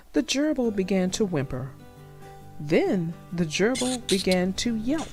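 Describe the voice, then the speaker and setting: Slow, expressive woman narrating a storybook. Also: mid-range voice. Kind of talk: read-aloud storybook narration.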